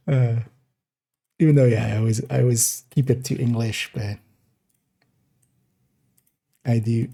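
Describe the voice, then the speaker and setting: A young man from the Netherlands, streaming live and thinking aloud in a relaxed, meandering way while reviewing his work. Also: mid-range voice, slow pace, gravelly, long pauses, husky. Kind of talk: casual live-stream commentary.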